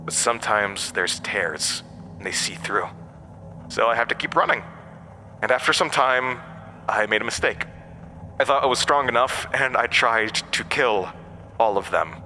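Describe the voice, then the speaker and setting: An actor playing an ancient, wounded supernatural outcast, manipulative and bitter, with taunting, dramatic delivery in a horror audio drama. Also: male voice, medium pitch, animated, normal speed, pauses often, bright, forceful tone. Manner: taunting, dramatic storytelling with swooping emphasis. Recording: long cavernous reverb, faint noise.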